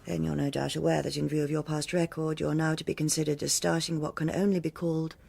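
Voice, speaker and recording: An actress playing a stern, self-assured senior policewoman with a British accent, speaking in a measured, formal and authoritative way in a radio drama. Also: sits low, little pitch movement, gravelly, a little mellow, exaggerated voice. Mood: formal, cool. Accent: British English.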